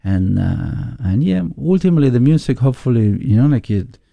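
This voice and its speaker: A man with an Argentine Spanish accent, a musician guest in a casual interview, speaking in a thoughtful, halting way as he searches for words. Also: medium pitch, big pitch swings, creaky, no room sound, highs cut off.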